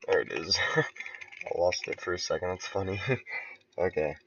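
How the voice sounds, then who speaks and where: A man casually talking through his level building on a stream, relaxed and thinking aloud with soft chuckles. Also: low voice, normal speed, muffled recording, a little hiss or hum. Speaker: game level creator streaming. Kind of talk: casual commentary while building.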